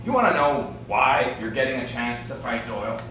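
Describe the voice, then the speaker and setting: A man's voice: mid-range voice, normal speed, natural voice. A man playing a pushy, menacing gangster fixer in a staged play reading. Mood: scornful. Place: indoor room, live staged reading. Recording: echoey room, distant mic, faint noise.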